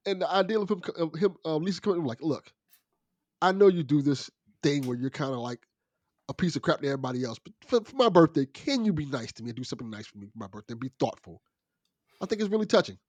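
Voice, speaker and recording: A man's voice: on the high side, lively intonation, quick pace, a little mellow, dry recording. A man in middle age talking as a guest in a casual podcast discussion. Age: 40s or older.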